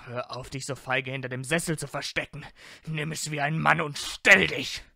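A man playing a proud, vain young wizard in a fan audio drama, haughty, mocking and quick to take offence. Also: fairly high pitch, fairly even intonation, creaky, sharp tone, dry recording, clean studio sound, full character voice. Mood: offended, haughty. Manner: haughty, indignant, teasing.

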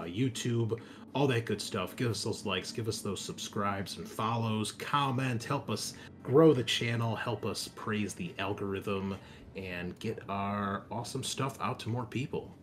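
Low-voiced man hosting a gaming show. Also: a little hiss or hum. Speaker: game master and host. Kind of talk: casual hosting chat for an actual-play podcast.

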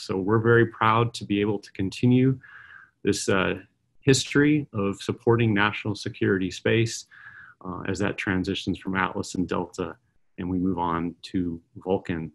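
A middle-aged man presents a conference keynote as an aerospace executive. His voice sits low and has a gravelly fry. He talks in a measured way, often pausing on uh and um as he moves through his points. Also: poor audio. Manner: measured, with frequent uh and um fillers.

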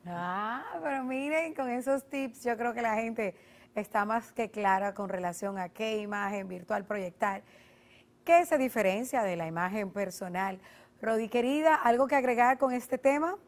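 A young woman hosts a television interview from a studio, her voice pitched fairly high. She questions her guest in a lively, engaged way and reads out closing details clearly. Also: unhurried. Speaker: TV show host.

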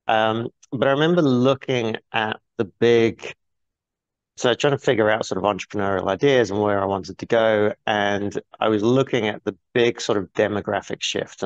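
A man in his forties with a low, gravelly voice talks candidly over a video call, piling up restarts as he goes. The audio is dull and dry, with no room sound. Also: no echo. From born in New Zealand, grew up in the UK. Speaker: guest, business board coach. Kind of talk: casual interview talk. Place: small bright room, indoors. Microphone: earphone mic on a video call.

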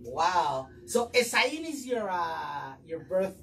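A man's voice: sits high, big pitch swings, slow, a bit bright, soft background music. A man hosting a casual interview in a small office studio, enthusiastic and full of praise, with plenty of fillers. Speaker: talk show host. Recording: distant mic with faint background noise.

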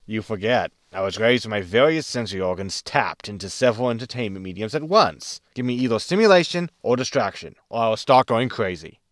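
An actor playing a blunt, impatient spaceship captain in a sci-fi comedy audio drama, talking casually. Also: male voice, lowish voice, expressive, a little fast, few pauses, a bit bright, no room sound.

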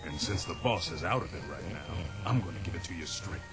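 An actor playing a big, tough henchman in a video game, frank, streetwise and gruffly friendly. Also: low voice, brisk, over music, lightly acted. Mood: confiding.

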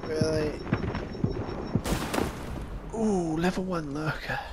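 A man's voice: fairly high pitch, takes it slowly, heavy background noise. A man giving improvised, joking, mock-heroic commentary in character as he plays a video game. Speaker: gamer voicing the protagonist as a joke. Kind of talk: improvised comic gameplay commentary. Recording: loud gunfire and game sound behind. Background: game gunfire and ambience.

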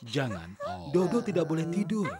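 A woman's voice: low voice, sing-song, normal speed. A woman narrator telling a children's cartoon story expressively, with questions and exclamations for toddlers. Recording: music behind the narration.

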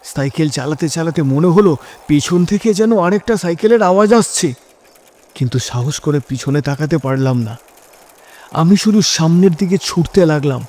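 A man's voice: on the high side, lively intonation, moderate pace, dark tone. A man narrating a scripted first-person horror story in a suspenseful, dramatic delivery. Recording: music behind the voice.

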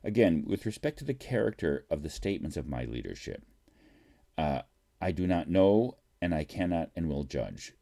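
An older man in his sixties with a very low, soft-edged, dark voice reads a prepared statement aloud. His phrasing is formal and deliberate, with emphatic stresses in the manner of a college professor. He speaks at a desk into a computer microphone in a home study. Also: very animated, creaky. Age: older, 60s. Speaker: college political science professor. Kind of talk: reading an open letter aloud with brief asides. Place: small home study lined with books. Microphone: webcam or computer mic at desk distance.

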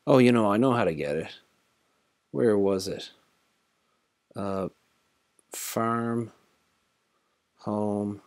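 A man's voice: lowish voice, very slow, long pauses, dry recording. A tired man casually thinking aloud while walking through a screen-recorded tutorial, rambling with many fillers. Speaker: crypto tutorial host.

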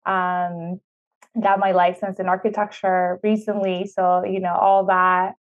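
A woman's voice: on the low side, little pitch movement, measured pace. A woman in her thirties, originally from Ecuador, answering interview questions in a chatty way with many fillers, speaking over a video call. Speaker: city sustainability official, podcast guest. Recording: tinny phone-like video call audio.

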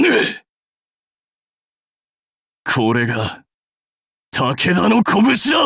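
An actor playing a proud, fierce warlord general in a mobile game, terse, rough and commanding. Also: male voice, on the high side, pushed and bright, dry recording, studio quality, full character voice. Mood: fierce battle shouting. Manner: terse, rough, declamatory delivery. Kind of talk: anime-style mobile game battle and dialogue voice lines.

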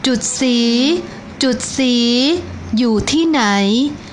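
A woman voicing a kind, worried old grandmother in a children's storybook, speaking slowly and calling out pleadingly. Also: sits high, a little hiss or hum.

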